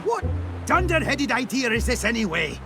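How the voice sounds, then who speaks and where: An actor playing a gruff, excitable old seafaring man with a Scottish accent and gravelly voice in a video game. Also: extremely high pitch, moderate pace, over loud music. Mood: grumbling. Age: older. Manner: folksy, exclamatory, blustering. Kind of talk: theatrical game voice acting.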